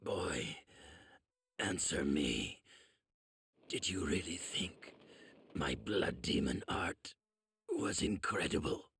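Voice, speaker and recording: An actor playing a proud, bitter, wounded male demon in an anime game, speaking slowly and with menace. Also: very deep voice, lively intonation, creaky, fairly bright tone, breathy edge, no room sound, exaggerated voice. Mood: menacing, bitter. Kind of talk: dramatic anime game voice acting.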